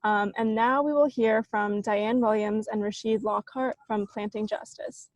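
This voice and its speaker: A woman's voice: medium pitch, fairly even intonation, unhurried, dry recording. A woman hosting an online event, introducing speakers in a friendly, loose way. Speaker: event host.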